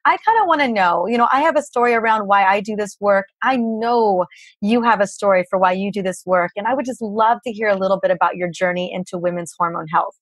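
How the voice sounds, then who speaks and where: A woman hosting a podcast interview, her voice raspy and hoarse from a book tour, talking in an effusive, gushing way. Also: medium pitch, a little fast, a bit bright. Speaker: podcast host.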